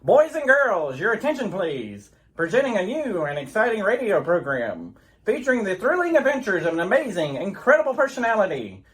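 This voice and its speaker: Animated woman as theatrical radio announcer. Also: medium pitch, fairly quick, faint music behind, low-quality recording. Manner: theatrical, dramatic announcer delivery. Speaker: announcer and narrator. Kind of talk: scripted old-time radio serial narration.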